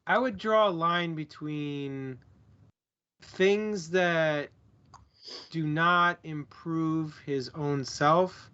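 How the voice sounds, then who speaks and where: A man casually discussing books in an informal, slangy and deliberate way. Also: on the high side, sharp tone, clean tone, lo-fi sound. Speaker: book club stream co-host.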